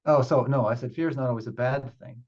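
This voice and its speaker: A man teaching meditation off the cuff, explaining ideas in a halting, reflective way as he talks with a group. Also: mid-range voice, fairly even intonation, darkish tone, slightly rough, dull audio. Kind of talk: unscripted spiritual teaching with group questions.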